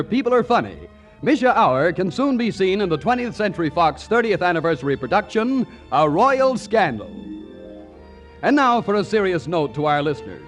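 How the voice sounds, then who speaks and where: A man reading scripted announcements in 1940s radio style on an old broadcast recording. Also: sits high.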